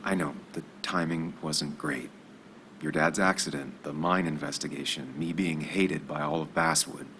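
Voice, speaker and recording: An actor playing a brooding, loyal journalist in his thirties in a video game, with measured, understated delivery. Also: very deep voice, steady tone, bright, forceful tone, slight background noise. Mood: regretful. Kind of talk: naturalistic video game acting.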